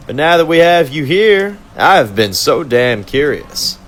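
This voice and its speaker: A man's voice: on the high side, very animated, a bit bright, slight background noise, pitched above the performer's own voice. A man voicing a sadistic male villain who mocks and gloats while interrogating a prisoner. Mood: taunting. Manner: taunting, drawn-out mocking delivery.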